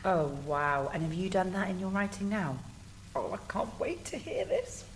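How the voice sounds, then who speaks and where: Lady teacher chatting to a young pupil, full of praise, voice a bit low, slight background noise. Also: expressive, normal speed. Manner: effusive, praising, gently prompting. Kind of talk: encouraging interview with a child.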